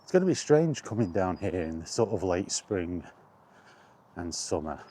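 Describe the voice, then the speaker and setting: Man, deep mellow voice, casual vlog host, thinking aloud, broken phrases, recorded outdoors on a walk. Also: sing-song, moderate pace, slightly rough. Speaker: host of a walk-and-talk retro gaming vlog. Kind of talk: casual rambling chat.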